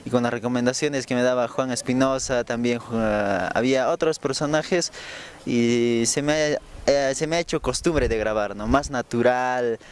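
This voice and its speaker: A young man speaks reflectively at a middle pitch, as if in an interview. He often closes his phrases with a short checking question, and faint noise sits behind his voice. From Peru. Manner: frequent rhetorical tag questions. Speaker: radio drama voice actor.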